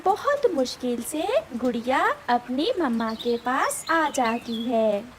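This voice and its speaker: A woman's voice: fairly high pitch, expressive, takes it slowly. A woman narrating a scripted children's cartoon story over background noise.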